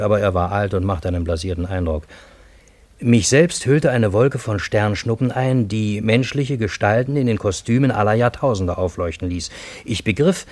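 Man as low-voiced, measured radio play narrator. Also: a little mellow, no room sound, lightly acted. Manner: measured, literary. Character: bewildered, ironic male storyteller recounting fantastic events. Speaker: first-person narrator.

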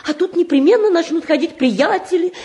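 An anxious middle-aged mother in a vintage Soviet radio play. Her voice is high and very animated. She fusses and pleads, repeating herself in agitation. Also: brisk. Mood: anxious, agitated. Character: anxious, fretful mother and housewife, fussing over her sons. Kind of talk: mid-century Soviet radio-play acting.

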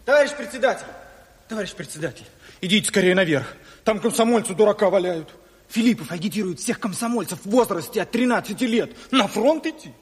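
An actress playing a flustered young messenger pleading urgently in a radio play. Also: female voice, medium pitch, animated, fast talker, slightly rough. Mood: urgent, alarmed. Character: flustered, breathless young messenger begging for help. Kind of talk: Soviet radio play acting. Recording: reverberant room.

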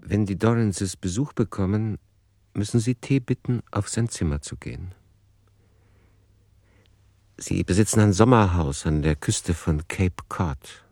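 A man's voice: low-pitched, measured pace, pauses often, dark tone, no echo. A man playing a sober chronicler in a literary radio drama, narrating in a detached, factual, report-like way. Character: sober male chronicler reporting on a writer in third person.

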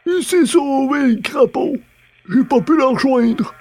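A man voicing an old, humble, gruff war veteran in a comic acted anecdote. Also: very high voice, unhurried, darkish tone, voice pushed up. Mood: comic, matter-of-fact.

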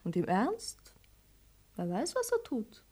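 Sly, high-voiced young maidservant. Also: female voice, huge pitch swings, takes it slowly, warm tone, husky, exaggerated voice. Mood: teasing, conspiratorial. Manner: teasing, theatrical, mock-formal. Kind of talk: classic German stage comedy as radio play.

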